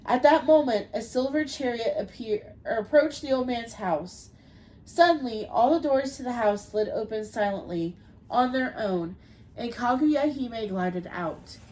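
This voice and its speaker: A woman's voice: on the high side, animated, unhurried, darkish tone, low-quality recording. Woman with a cold reading a folk tale. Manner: reads with small slips and restarts. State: has a cold. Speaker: podcast co-host. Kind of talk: reading a folk tale aloud, then casual chat.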